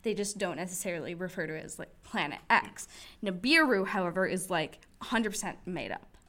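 A woman's voice: lowish voice, animated, fairly quick, sharp tone. Chatty young woman podcaster. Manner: chatty, filler-heavy, giggly. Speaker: podcast co-host. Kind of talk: casual conversational chat.

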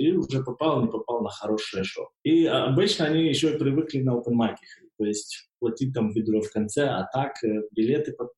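A man's voice: mid-range voice, warm tone, echoey room, low-quality recording. A man who works as a stand-up comic and screenwriter, chatting casually as a guest over a video call, hesitant, with lots of fillers and restarts. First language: not English.

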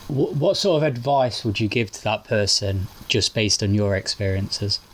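Careful low-voiced male interviewer. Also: moderate pace. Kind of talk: interview questions.

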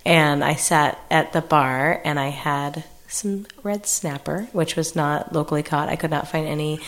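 A woman telling a casual anecdote on a comedy podcast, halting and wry. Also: sits low, steady tone. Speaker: comedy improviser.

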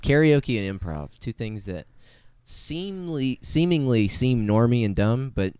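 Animated, clear-voiced man chatting. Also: medium pitch, unhurried, no room sound, clean studio sound. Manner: casual and profane. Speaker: podcast co-host. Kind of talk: casual comedic podcast chat.

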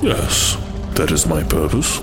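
A man playing a formal, helpful watcher angel in an audio drama, speaking in a measured way. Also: a bass voice, big pitch swings, music in the background, exaggerated voice. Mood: formal curiosity.

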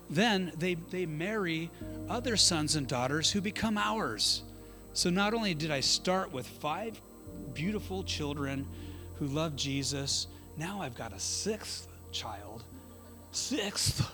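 A man's voice: on the high side, fairly bright tone. A middle-aged man preaching an informal, conversational sermon as a pastor, with folksy, joking asides and self-interruptions. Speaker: pastor preaching at an evening church service.

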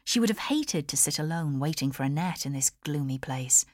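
British lady reading a novel, low voice, very dry studio sound. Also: animated, normal speed, no room sound. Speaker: audiobook narrator. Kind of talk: scripted fiction narration.

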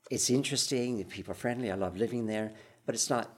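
A man's voice: mid-range voice, fast talker. An older man with Romanian as his first language, talking with another writer in a thoughtful way, with restarts and chuckles. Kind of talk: conversation between writers.

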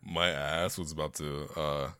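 A man's voice: rumbling low, little pitch movement, unhurried, sharp tone, clean tone, dry recording, studio quality. A young man co-hosting a podcast in laid-back, joking casual chat, laughing often. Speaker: podcast co-host.

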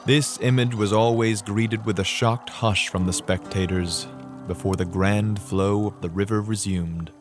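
A man, an actor, giving a measured, expressive dramatic reading of a history book. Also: on the low side, music in the background. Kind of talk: dramatic audiobook-style reading.

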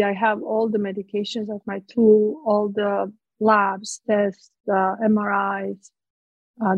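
A woman's voice: mid-range voice, gravelly, husky, dry recording. Croatian-accented woman doctor, dry lo-fi sound. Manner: earnest explaining, frequent fillers and restarts. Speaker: physician guest. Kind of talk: conversational interview answers. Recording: dull, dry, slightly lo-fi sound.

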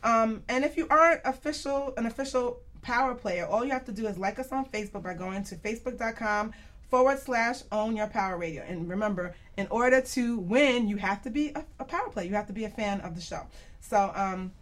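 A woman's voice: a little high, fairly quick. A woman hosting a casual radio show in a chatty, giggly way, often laughing as she talks.

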